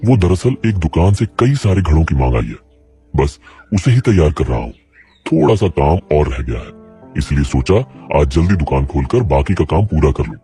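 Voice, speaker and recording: A man's voice: extremely low pitch, very animated, normal speed, dark tone, heavily acted. A man voicing a poor, worried village potter in a cartoon story. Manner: worried, plain-spoken. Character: poor struggling middle-aged male potter. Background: faint music.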